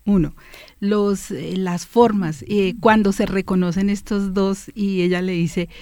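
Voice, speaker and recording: A woman's voice: medium pitch, steady tone, measured pace, darkish tone, no room sound, studio quality. A woman chatting casually and enthusiastically as a participant in a book discussion. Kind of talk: casual conversation.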